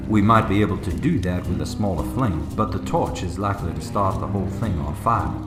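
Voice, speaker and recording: An actor playing a cautious, clever preacher on an adventure in a radio drama, speaking with careful, dryly exasperated patience. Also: male voice, low voice, animated, fairly quick. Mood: careful, thoughtful. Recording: roomy echo, music underneath. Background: music.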